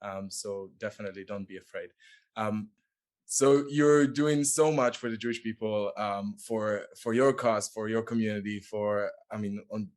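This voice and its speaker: A man hosting a conversational interview, forming his questions haltingly and repeating words as he goes. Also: lowish voice, darkish tone. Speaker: show host, interviewer.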